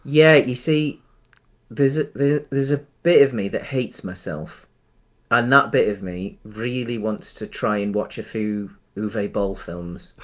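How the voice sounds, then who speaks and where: Man, halting casual film talk. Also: fairly low pitch. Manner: hesitant, halting, rambling phrasing. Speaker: podcast co-host.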